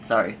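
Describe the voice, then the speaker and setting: Rambling young man, voice a bit hoarse. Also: fairly bright tone, a little hiss or hum. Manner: rambling, with frequent fillers and swearing. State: losing his voice, a bit hoarse. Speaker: gaming YouTuber giving tips. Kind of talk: casual gameplay commentary voice-over.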